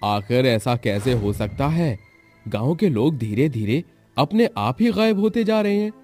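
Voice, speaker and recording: A concerned village headman voiced by a man in a cartoon tale, medium in pitch. He reasons earnestly with people, over faint music. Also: very animated, unhurried, darkish tone. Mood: worried. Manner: earnest, argumentative. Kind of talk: Hindi animated story acting.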